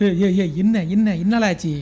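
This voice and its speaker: A man acting as a flustered, lovestruck young college professor in a comic radio drama, excitable and prone to stammering. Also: sits high, fairly quick, very noisy, lightly acted. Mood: curious, smitten. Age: young adult. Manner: excitable, stammering when nervous.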